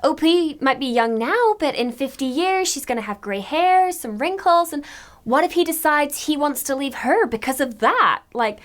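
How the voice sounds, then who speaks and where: A woman's voice: high-pitched, expressive, moderate pace, fairly bright tone. A woman playing a young, opinionated fairytale princess who chats in a gossipy, modern, casual way on a comedy podcast. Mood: judgmental. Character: young fairytale princess, chatty, opinionated, exasperated by her reputation. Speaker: guest commentator.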